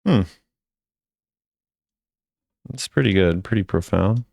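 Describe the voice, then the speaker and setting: A man's voice: sits low, animated, brisk, gravelly, darkish tone, dry recording, studio quality. A man giving offhand, casual commentary as a video creator, musing conversationally as he rates things. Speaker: video creator ranking game voice lines.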